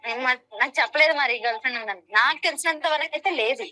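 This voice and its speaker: High, bright, quick-talking young woman. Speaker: phone interview guest. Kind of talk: casual phone chat.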